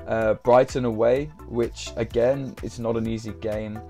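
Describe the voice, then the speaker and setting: A young man, chatting casually on a live stream, slightly croaky from a sore throat, hesitating often with ums and uhs. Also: mid-range voice, flat delivery, a little slow, a little mellow, soft background music. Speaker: fantasy football streamer.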